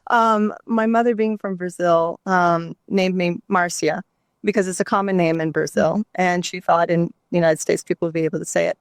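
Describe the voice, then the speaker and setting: Gentle, reflective woman, dry sound. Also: on the low side, steady tone, normal speed, no echo. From New Mexico, US. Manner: reflective, gentle, many ums. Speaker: guest, somatic therapist and author. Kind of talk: candid interview conversation.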